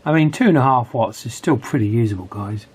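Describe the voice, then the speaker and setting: Male repair vlogger, mid-range voice. Casual running commentary, halting and thinking aloud while working. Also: medium pitch, darkish tone, breathy edge. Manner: thinking aloud, hesitant pauses mid-phrase.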